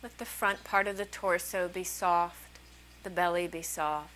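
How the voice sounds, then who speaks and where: A woman leading a guided meditation as a teacher, speaking soothingly in short, unhurried phrases with long pauses between them. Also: on the low side, steady tone, fairly bright tone, a little hiss or hum, poor audio. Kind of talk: guided meditation, slow calming instruction.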